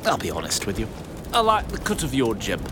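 An actor playing a cordial, blustery small-town mayor with a folksy, pompous manner in an audio drama. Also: male voice, medium pitch, huge pitch swings, a little fast, background noise.

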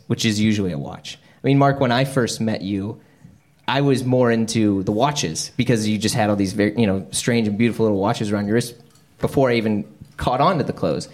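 A man with a mid-pitched voice interviews guests live before an audience. He talks casually and jokingly, and his questions ramble along informally. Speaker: host and interviewer. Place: live event in a menswear store.

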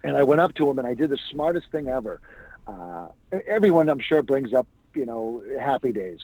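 A man's voice: medium pitch, very animated, a little fast, mellow, no room sound, low-quality recording. A man, a stand-up comedian, telling stories as a guest in a casual interview. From New England. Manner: chatty anecdotal storyteller.

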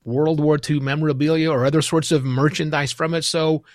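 A man's voice: on the high side, flat delivery, fairly quick, no room sound. Emphatic male podcast host, dry close sound. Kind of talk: conversational podcast hosting.

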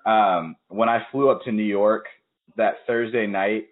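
A man's voice: fairly low pitch, fairly even intonation, a little slow. A young man hosting a podcast, chatting energetically and acting out stories with quoted asides. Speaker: podcast host. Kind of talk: casual interview chat. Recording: tinny phone-like call audio.

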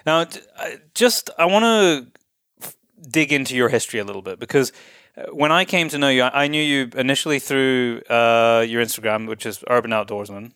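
A man hosts an interview in a casual, chatty style. His voice is smooth and clear at a medium pitch, recorded dry with hardly any room sound. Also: a little fast, sharp tone, no echo. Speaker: interview host.

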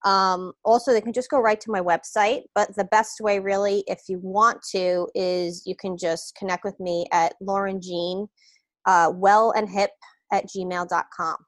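A woman, a wellness coach speaking as an interview guest, relaxed and meandering with hesitant fillers. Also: mid-range voice, measured pace, no echo. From New York. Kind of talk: casual interview.

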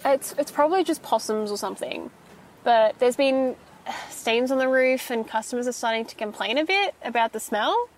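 Actress as anxious young female shop employee, high voice, polite and rambling, hesitant naturalistic audio-fiction delivery. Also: animated, moderate pace, slight background noise, close to an everyday voice. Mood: nervous, chatty. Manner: hesitant, rambling, nervous stammering and self-correcting.

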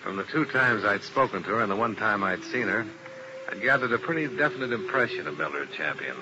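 Give me a tough, clipped insurance investigator from a vintage radio crime drama, acted by a man. His voice sits low and steady, and he asks blunt questions with dry reserve. The old broadcast hisses clearly underneath. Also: a little fast, muffled recording, lightly acted. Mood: somber narration. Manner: terse, dry, probing. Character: hard-boiled male insurance investigator. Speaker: narrator and lead detective. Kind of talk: 1950s radio drama acting.

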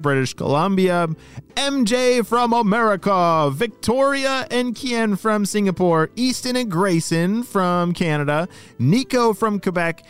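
A man narrates a children's story with a very animated, fairly high voice. He explains things simply, speaking straight to young listeners over soft background music. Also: smooth. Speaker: children's story podcast host.

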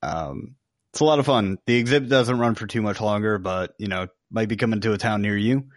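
Man, lower-pitched voice, casual podcast co-host, dry close sound. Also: fairly quick, no room sound. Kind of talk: casual chat.

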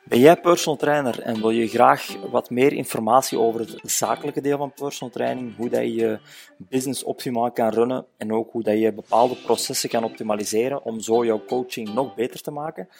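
A man's voice: medium pitch, steady tone, brisk, creaky. A man hosting a podcast, introducing a guest and talking hesitantly, repeating words as he goes. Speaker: podcast host. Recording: faint music behind.

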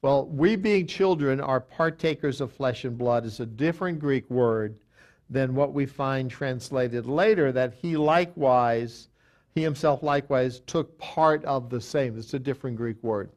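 A man's voice: mid-range voice, a little mellow. Man teaching a Bible study. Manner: halting, many restarts and fillers, reads scripture aloud.

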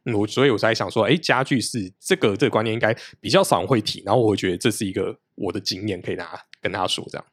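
A man's voice: fairly low pitch, racing, few pauses, slightly rough, no echo, studio quality. A man, explaining things at length as a podcast guest, restarting his sentences often. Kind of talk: casual conversational interview.

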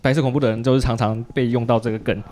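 A man's voice: medium pitch, fairly even intonation, very fast, dark tone. A man with a Taiwanese Mandarin accent hosts a casual interview, commenting and asking in an informal, opinionated, wry way. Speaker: podcast host.

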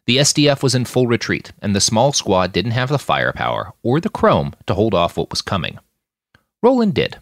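Male narrator for fiction podcast. Lowish voice, hugely varied intonation, dry close sound. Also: normal speed, no echo. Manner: expressive, acting out dialogue. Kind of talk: dramatic audiobook-style narration of military science fiction.

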